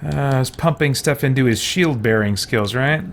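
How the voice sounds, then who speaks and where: A man's voice: medium pitch, normal speed, a little hiss or hum. A man giving casual let's play commentary, thinking aloud to himself as he makes choices. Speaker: gaming channel host.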